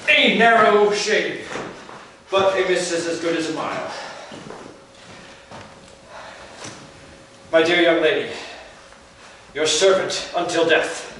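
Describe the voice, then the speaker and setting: Male stage role: actor as frank, unheroic professional soldier, high-pitched and courteous, heard distantly in a very echoey theater. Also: fairly even intonation, measured pace, pauses often, bright, forceful tone. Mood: courtly, pleading. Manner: theatrical, courteous, matter-of-fact. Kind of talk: live stage acting of a period comedy. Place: indoor stage in an arts center, recorded from afar. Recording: distant, very echoey theater recording, faint noise.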